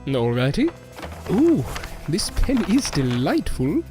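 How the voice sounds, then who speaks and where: A man's voice: fairly high pitch, huge pitch swings, slow pace, exaggerated voice. A man playing a genteel, modest ventriloquist in an audio drama, speaking in polite, flowery, formal phrases. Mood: grateful, gracious. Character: genteel, modest male ventriloquist, courteous and flattering. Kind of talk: scripted audio drama acting. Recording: music behind the voice.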